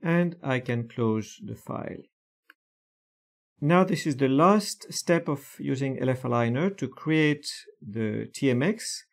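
A man calmly walking through software steps one by one in a screen-recorded tutorial, with frequent hesitations. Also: medium pitch, vocal fry. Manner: slow, methodical step-by-step explanation with frequent fillers.

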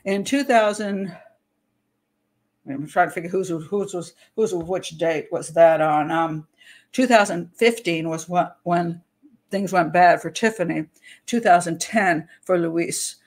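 An older woman, a criminal profiler, hosting a casual livestream from a small room at home, talking into a webcam. She rambles, interrupts herself and laughs easily, and her voice is a little hoarse. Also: fairly low pitch. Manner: chatty, rambling, self-interrupting, quick to laugh. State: hoarse, clearing throat.

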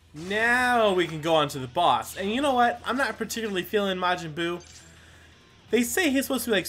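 A man's voice: sits high, a bit bright. A man hosting a gameplay video with casual, rambling commentary, full of exclamations and mild swearing. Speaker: gaming video host.

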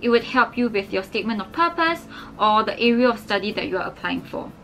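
A young woman from Singapore, explaining application steps clearly to camera indoors. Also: fairly high pitch, animated, fairly quick, a bit bright, slight background noise, rough recording. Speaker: scholarship advice vlogger. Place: indoors against a plain wall.

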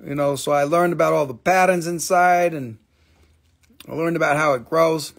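Excitable gravelly-voiced man selling gems. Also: a little high, normal speed. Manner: excitable, exclaiming, casual swearing. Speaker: live-stream gem seller. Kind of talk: live sales stream chat. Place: indoor shop table, close-up on stones.

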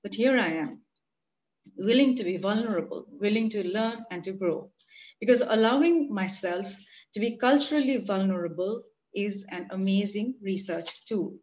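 A woman in her forties with an Indian accent, giving a prepared academic conference talk in a measured, earnest way over a video call. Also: mid-range voice, darkish tone. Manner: measured, earnest, read from notes. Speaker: conference presenter. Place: home room, video call. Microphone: computer mic on a video call. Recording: muffled lo-fi video call audio.

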